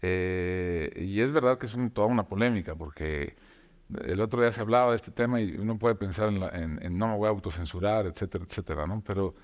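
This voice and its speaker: A man, a novelist, talking reflectively about writing, with frequent pauses and restarts. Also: lowish voice. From Mexico. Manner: reflective, many filler hesitations and restarts. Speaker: novelist guest. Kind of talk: conversational talk show discussion.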